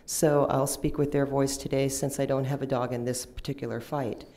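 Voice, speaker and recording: A woman in her fifties, a union lobbyist, giving confident but halting testimony with many pauses at a county meeting through a table microphone. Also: very deep voice, little pitch movement, warm tone. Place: county commission hearing room, indoors. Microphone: table microphone, seated.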